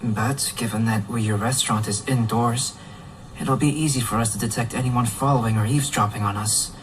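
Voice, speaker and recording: An actor playing a calm, analytical young man in a video game, speaking in a measured, formal, articulate way over music. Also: medium pitch, slight background noise, natural voice, poor audio. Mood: calm, reassuring. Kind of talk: English video game voice acting.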